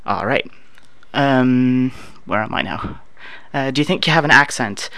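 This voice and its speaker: A man's voice: medium pitch, unhurried, creaky, dry recording. A Canadian man in his thirties casually reading survey prompts aloud and answering them with dry, offhand humor. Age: 30s. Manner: relaxed, offhand, dry humor with many filler uhs. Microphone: no proper microphone, home setup.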